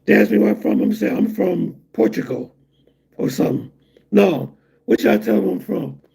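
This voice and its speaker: A man's voice: sits high, lively intonation, a little fast, creaky, mellow, breathy edge. A middle-aged man from the United States hosting a casual livestream and rambling loosely to his viewers. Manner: loose, rambling, laughs at his own jokes. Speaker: livestream host.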